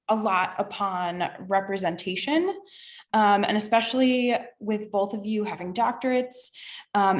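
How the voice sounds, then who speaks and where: A woman moderating a webinar Q&A over a video call, slightly apologetic and hesitant, with many small fillers. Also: medium pitch. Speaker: event moderator. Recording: echoey room over a call.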